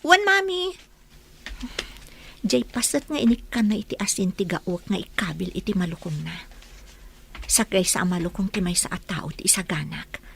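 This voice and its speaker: A woman's voice: on the low side, lively intonation, normal speed, gravelly, long pauses, fairly bright tone, a little hiss or hum. A woman acting a dutiful young household cook who stands up for herself, in an Ilocano radio comedy drama. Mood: obliging, then muttering, scheming.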